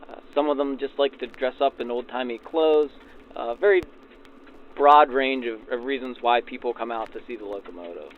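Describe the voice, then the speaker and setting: Man, mid-pitched voice, informal interviewee, narrow tinny radio filter, faint vehicle ambience behind. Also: normal speed, a little hiss or hum. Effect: backdated 1940s radio filter. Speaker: railroad passenger services supervisor being interviewed.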